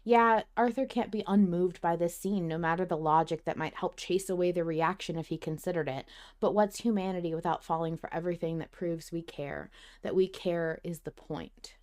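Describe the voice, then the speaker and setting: Lady podcast host w a lowish smooth voice, chatting casually n earnestly. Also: normal speed, darkish tone. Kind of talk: casual fan podcast discussion.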